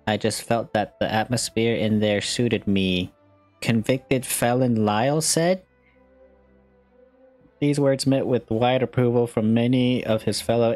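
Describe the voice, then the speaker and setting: Casting: male gaming creator, fairly deep voice, casual reactions and read-alouds, soft music underneath. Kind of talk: casual let's-play reactions and reading aloud.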